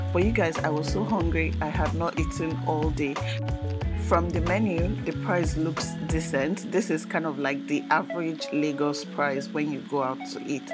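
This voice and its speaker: A woman with a Nigerian accent, chatting informally as she narrates a casual vlog. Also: low-pitched. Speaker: vlogger, host. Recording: loud background music. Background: music.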